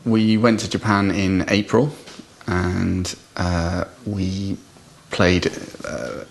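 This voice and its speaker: A man telling a personal anecdote off the cuff in a hesitant, halting way. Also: low-pitched, level tone, creaky. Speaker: musician in a band, interviewee.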